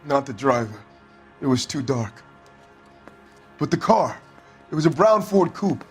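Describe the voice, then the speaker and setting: An actor playing a defensive, hot-tempered husband under police questioning in a crime video game, answering bluntly. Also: male voice, mid-range voice, big pitch swings, unhurried, faint music behind. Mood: wary, subdued. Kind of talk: acted dramatic video game dialogue.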